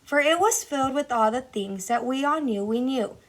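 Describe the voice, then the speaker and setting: A woman's voice: sits high, lively intonation, normal speed. A woman reading a children's story aloud. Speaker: school staff member reading aloud.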